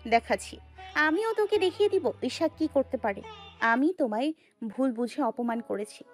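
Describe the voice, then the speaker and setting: A woman's voice: sits high, animated, moderate pace. A woman playing a hurt, defiant young woman in love in an audio story. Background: background music.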